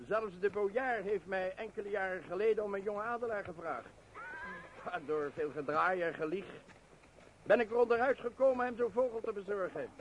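Man as humble mountain bird keeper, high male voice, radio play acting, faint noise. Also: moderate pace, highs cut off, natural voice. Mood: earnest, reflective.

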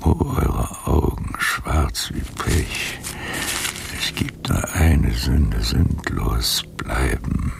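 Very deep, gravelly old man. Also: animated, extremely slow, noisy background, heavily acted. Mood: musing. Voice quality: very deep, gravelly, breathy half-whisper. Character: aged Casanova, old man reading through old love letters. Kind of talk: German radio drama acting.

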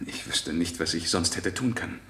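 An actor playing a defensive man on trial in a German radio drama, halting in his answers and quick to protest. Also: sits low, bright, forceful tone, echoey room. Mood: evasive, uneasy. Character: defensive male defendant accused of a shelter killing.

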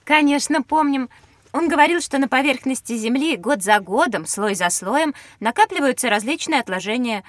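Eager, high-voiced curious child. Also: female voice, animated, normal speed, no echo. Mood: eager, explaining. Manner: inquisitive, eager. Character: curious, observant child on a learning adventure. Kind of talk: children's educational audio drama acting.

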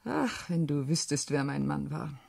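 A shrewd, worldly widow in a German radio drama. Her husky, mellow voice sits on the low side, and she speaks with deliberate, proud self-possession. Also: heavily acted. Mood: aggrieved. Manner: deliberate, expressive, philosophizing. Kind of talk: German radio play acting.

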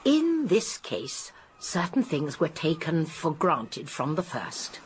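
An actress playing a shrewd, composed elderly English spinster detective in a radio drama, with a gentle, breathy voice. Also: female voice, low-pitched, huge pitch swings, takes it slowly, full character voice. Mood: measured, narrating. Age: older.

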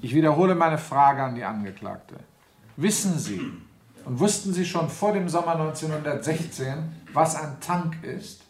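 Stern, higher-voiced military judge. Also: male voice, animated, normal speed, slight background noise. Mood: stern, impatient. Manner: commanding, formal, sardonic. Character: stern, sardonic military judge, a general presiding. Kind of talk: German radio play acting.

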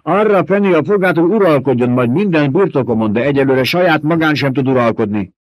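A man puts on a gluttonous, scheming older baron for an audiobook. The voice rides higher and louder than a plain reading, swinging widely and relishing every taunt. The sound is dry and close, with no room around it. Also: expressive, no room sound. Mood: lecturing. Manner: taunting, theatrical, booming emphasis. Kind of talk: audiobook character voice.

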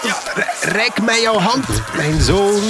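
An actor with a Flemish accent playing a pious, scolding, easily flustered priest in a comic gothic radio drama. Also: male voice, high voice, slow. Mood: stern, preaching. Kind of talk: gothic horror comedy radio drama acting. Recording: noisy radio play scene.

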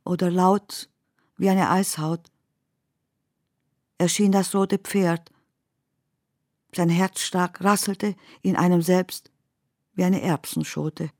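Slow, low-voiced woman reciting poetry. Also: fairly even intonation, a little mellow, no echo, clean studio sound. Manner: measured, deliberate phrasing. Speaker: poet reading own text. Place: dry studio.

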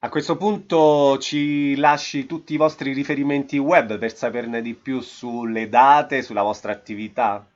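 A man's voice: medium pitch, fairly even intonation, moderate pace, few pauses. A man interviewing a guest casually, asking questions in a hesitant, attentive way. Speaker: radio interviewer. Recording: slightly dull, lo-fi radio audio.

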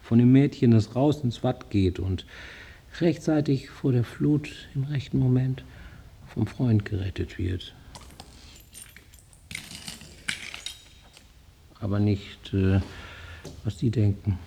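A man's voice: medium pitch, unhurried, gravelly, dark tone, a little hiss or hum. An actor playing an agitated male psychiatric patient in a radio drama, defending himself in fragmented, insistent bursts. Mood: evasive, rambling. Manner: rambling, fragmented, insistent self-justification.

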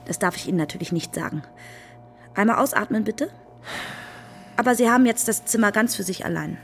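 An actress playing a brisk, matter-of-fact hospital nurse in a naturalistic radio drama. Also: female voice, medium pitch, lively intonation, creaky, a little hiss or hum.

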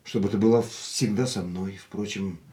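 A man's voice: on the low side, fairly even intonation, moderate pace, warm tone, close to an everyday voice. A man playing a jealous, anxious lover in a radio play, insistent, questioning and earnest, in an echoey room. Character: jealous, anxious lover, an aging racing driver.

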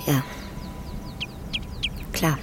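A woman's voice: extremely slow, music in the background. An actress playing a tense, resolute young woman kidnapper who answers in clipped words in a radio play. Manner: terse, clipped replies.

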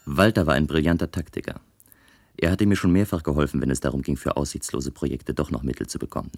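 An actor playing a wistful, stubborn male inventor who narrates a radio play in a measured, reflective way. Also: very deep voice, fairly even intonation, darkish tone, slightly rough, exaggerated voice. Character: male inventor, wistful, stubborn, recounting his bold project. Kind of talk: German radio play acting.

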